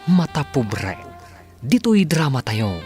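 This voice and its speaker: A man's voice: mid-range voice, very animated, takes it slowly, a little mellow. A man with an Ilocano accent narrating and announcing a radio drama in a dramatic style. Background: music.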